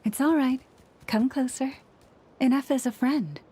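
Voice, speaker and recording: A woman playing a gentle, gracious young maiden in a video game, speaking softly and poetically. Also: high voice, a little slow, breathy edge. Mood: gentle, grateful.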